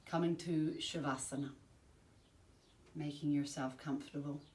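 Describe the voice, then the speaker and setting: A woman teaching yoga nidra, giving calm, soothing, measured guided-relaxation instructions with long pauses. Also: sits low. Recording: roomy, distant mic, faint background noise.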